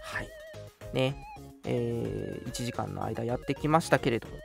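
A man's voice: medium pitch, expressive, moderate pace, vocal fry, over music. A young man hosting a casual radio show, chatting politely with some self-deprecation. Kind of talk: casual radio chat and announcements.

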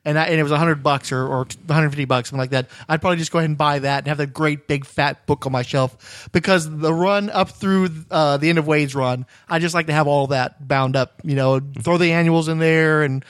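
Man, podcast host, quick pace, slightly high voice, casual and detailed, dry close sound. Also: steady tone, dry recording. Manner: knowledgeable, detailed explaining. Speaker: podcast host interviewing a friend. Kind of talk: casual comic-book chat.

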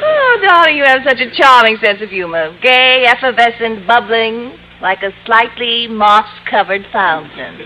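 An actress playing a smug rival schoolteacher in an old radio comedy, with affected sugary politeness and drawn-out barbs. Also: female voice, fairly high pitch, animated, a loud crowd. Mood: sugary, catty. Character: catty, syrupy rival schoolteacher woman, smug and condescending. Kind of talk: 1940s-50s radio sitcom acting. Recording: dull, hissy vintage radio recording.

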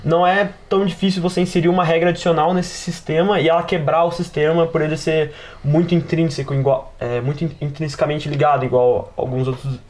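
A man's voice: fairly high pitch, level tone, fairly quick, darkish tone. A man with a Brazilian accent hosting a casual podcast about tabletop games, explaining at length with slangy asides. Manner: wordy, explanatory, peppers talk with slang and tags. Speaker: podcast host and game master.

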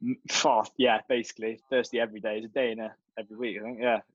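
A young man chatting casually with friends in a relaxed, jokey way. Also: lowish voice, rapid-fire, husky, dry recording, highs cut off. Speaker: guest catching up with friends. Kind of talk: casual chat.

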